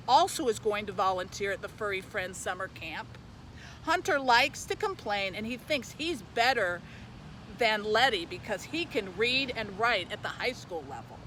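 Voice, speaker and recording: A woman's voice: on the high side, sharp tone, a little hiss or hum, low-quality recording. A woman speaking as a youth librarian, presenting and reading a children's book aloud, recorded on location.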